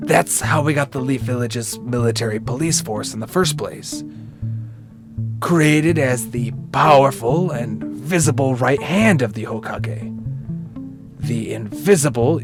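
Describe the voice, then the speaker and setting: A man plays a prudent military commander in an audio drama. He has a mid-pitched voice and reasons carefully and explains with some hesitation, over prominent guitar music. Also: lightly acted. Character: cautious, level-headed male military commander of ninja. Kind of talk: fan-made audio drama acting.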